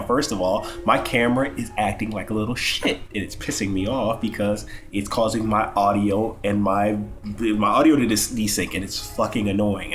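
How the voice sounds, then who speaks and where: A young man with a cold, sniffling as he gives a casual, rambling, profane game review with lots of stammered restarts. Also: fairly low pitch. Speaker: gaming YouTuber.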